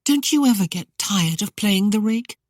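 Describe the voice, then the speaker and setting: A man's voice: high-pitched, lively intonation, moderate pace, no room sound, studio quality, voice pushed up. A man putting on a prim, indignant young lady. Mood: scolding. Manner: huffy, scolding. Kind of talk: audiobook character voice.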